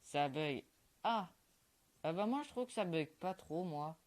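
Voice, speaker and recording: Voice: medium pitch, expressive, a little slow. A young gamer, chatting casually over his gameplay in a mumbled, offhand way with lots of fillers. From Quebec, Canada. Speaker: gaming YouTuber.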